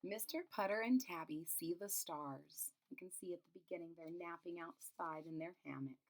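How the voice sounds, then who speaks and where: A woman's voice: lowish voice, moderate pace, rough recording. A woman reads a children's picture book aloud expressively, adding simple explanations as a teacher would. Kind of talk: children's storybook read-aloud with asides.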